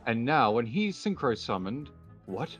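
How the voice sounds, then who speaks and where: A man speaking in a measured, understated way as he plays a roleplay card duel and casually announces his moves. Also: mid-range voice, sing-song. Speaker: card game duelist player. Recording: dull lo-fi mic, faint music behind.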